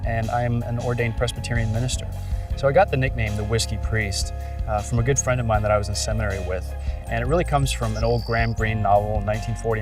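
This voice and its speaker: A man in his forties from the Bahamas, an ordained minister, speaking candidly and reflectively in an interview. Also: medium pitch. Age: 40s. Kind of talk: interview testimony. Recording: distant mic with music bed.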